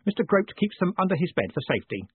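Male reader doing a nervous, earnest boy, pitched high, husky, phone-tinny. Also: big pitch swings, quick pace, no room sound, exaggerated voice. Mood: eager. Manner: eager, earnest, jittery. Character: anxious young post office boy. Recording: dry, tinny phone-like sound.

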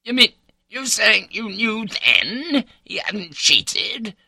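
A man playing a cynical, irascible old gentleman raconteur in an audiobook, pompous and wry, with an upper-class British accent. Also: sits low, big pitch swings, normal speed, gravelly, dry recording, studio quality, full character voice. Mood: agitated, blustering. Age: older.

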